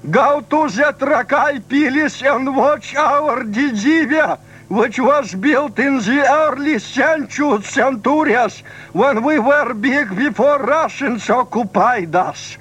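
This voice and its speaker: A man's voice: very high voice, takes it slowly, fairly bright tone, slightly rough, full character voice, low-quality recording. A man with a Lithuanian accent voicing a foul-mouthed nationalist ranting on a comedy radio show. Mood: indignant. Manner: blustering, swearing rant. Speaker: radio show guest bit.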